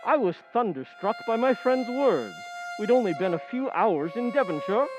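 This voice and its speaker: A man playing a detective's loyal, earnest companion, telling the story in an old-time radio drama style, measured and slightly puzzled. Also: sits high, very animated. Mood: narrating, earnest. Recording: dull lo-fi sound, music underneath.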